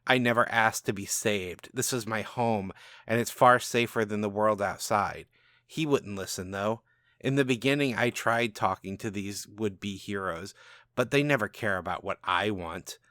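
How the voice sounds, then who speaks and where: A man's voice: fairly low pitch, measured pace, fairly bright tone, dry recording. A man reading a storybook tale as a smart, scornful, agoraphobic princess in a dry, matter-of-fact way. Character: agoraphobic, smart, scornful young princess guarding her pet. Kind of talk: first-person storybook narration.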